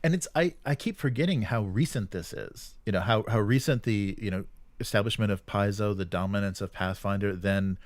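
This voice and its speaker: Low-voiced man, halting and agreeable. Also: no room sound. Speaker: co-host of a tabletop gaming news show. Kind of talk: casual talk, commenting on news.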